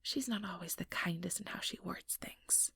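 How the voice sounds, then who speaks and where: A woman playing an ambitious, sugary, manipulative vampire in an ASMR roleplay, speaking in a breathy, whispery voice with coaxing, condescending sweetness. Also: fairly low pitch, moderate pace, sharp tone, no echo, clean studio sound, exaggerated voice. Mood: falsely sympathetic. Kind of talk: ASMR roleplay, soft whispered monologue to listener.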